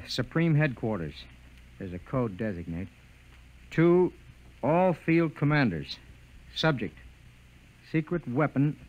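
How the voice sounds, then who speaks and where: An actor playing a proud, formal military officer in a 1950s radio drama. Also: male voice, on the high side, muffled recording.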